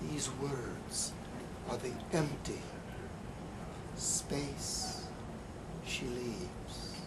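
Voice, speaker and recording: A man giving a live poetry reading in a bookstore, with halting, deliberate delivery, in an amateur recording. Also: mid-range voice, lively intonation, very noisy. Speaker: poet. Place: bookstore reading event, indoors.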